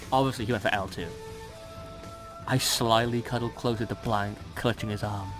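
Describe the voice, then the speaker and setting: A man's voice: fairly low pitch, fairly even intonation, normal speed, music in the background. A man casually bantering and reading fan fiction aloud in a mock-dramatic, teasing and sweary way.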